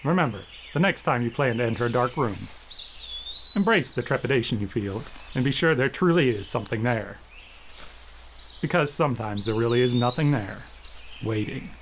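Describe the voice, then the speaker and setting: A man delivering a scripted, eerie horror-show sign-off in a measured, ominous manner as presenter. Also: mid-range voice, lively intonation. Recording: clear background ambience and noise.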